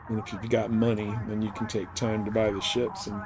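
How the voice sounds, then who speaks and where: A man's voice: fairly low pitch, level tone, brisk, a crowd in the background, poor audio. A man giving casual, rambling gameplay commentary as a live streamer, explaining things as he goes.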